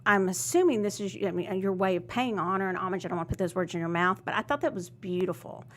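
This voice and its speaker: A woman's voice: mid-range voice, quick pace, slight background noise. A woman with an East Texas accent hosting a podcast, chatting casually with frequent restarts and fillers. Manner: warm, chatty, hesitant, many restarts. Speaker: podcast host. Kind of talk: casual interview chat.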